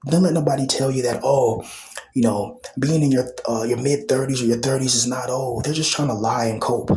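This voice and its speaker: A man's voice: mid-range voice, fairly even intonation, fairly quick, darkish tone, slight background noise, poor audio. A man in his thirties rambling casually in a vlog, full of slang, fillers and repeated phrases, sometimes dropping to a whisper. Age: 30s. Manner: loose slang-filled talk with many fillers and repetitions. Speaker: vlogger.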